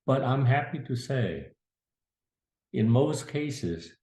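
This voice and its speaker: A man's voice: mid-range voice, lively intonation, slow pace, warm tone. A man in his eighties telling a family story from his youth, plain-spoken and earnest. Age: 80s. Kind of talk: casual spoken memoir. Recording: echoey room.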